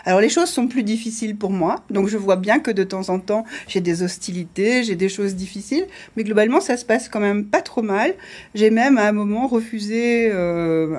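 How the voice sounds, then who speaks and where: A woman's voice: medium pitch, a little mellow. Frank, self-assured French businesswoman. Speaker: business owner, studio guest. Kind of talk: studio panel discussion.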